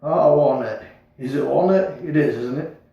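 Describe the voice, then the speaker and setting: A man's voice: mid-range voice, moderate pace, creaky, muffled recording, natural voice. A man voicing an aging, aloof male chief rabbit who is politely condescending and forgetful. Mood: patronizing. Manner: polite, absent-minded, condescending. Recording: echoey room, far from mic.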